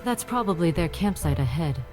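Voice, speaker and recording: Concerned aunt narrating her mystery game story, lower voice, music playing behind. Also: lively intonation, normal speed. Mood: wary, thoughtful. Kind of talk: scripted first-person game narration. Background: prominent background music.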